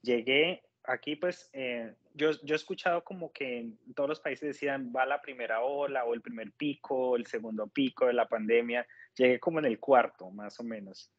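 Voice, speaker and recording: Measured Colombian man on dull audio. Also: mid-range voice, no echo. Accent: Colombian Spanish. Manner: measured, polite, explanatory. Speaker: interview guest, nurse. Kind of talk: conversational personal account.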